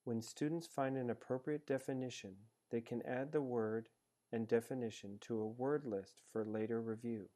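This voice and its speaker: A man reading a scripted software tutorial in a measured, explanatory manner as a voice-over. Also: mid-range voice, fairly even intonation. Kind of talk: scripted instructional narration. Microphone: screencast voice-over.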